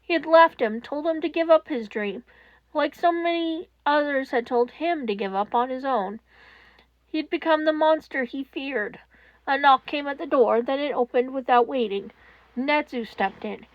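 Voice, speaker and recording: A woman narrating scripted fan fiction in a solemn, measured way with dramatic pauses. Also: high voice, no room sound, highs cut off.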